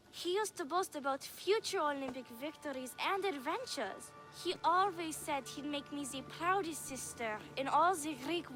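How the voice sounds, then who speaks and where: A woman's voice: very high voice, sharp tone, exaggerated voice. A woman voicing a worried, earnest young Greek girl in a video game, pleading for help. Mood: sad, worried. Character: worried young Greek girl seeking her missing brother.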